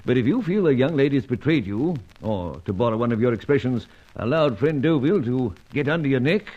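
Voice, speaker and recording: An actor playing a suave, skeptical older British knight of the secret service in a radio serial, pompous and dryly sardonic, with a British accent. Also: male voice, medium pitch, expressive, a little fast, close to an everyday voice. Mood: cautioning. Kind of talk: old-time radio adventure serial acting. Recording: dull, muffled old radio recording.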